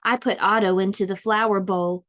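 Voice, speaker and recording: A woman reading a children's storybook aloud like a preschool teacher, expressively, with deliberate, drawn-out dramatic emphasis. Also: medium pitch, steady tone, fairly bright tone.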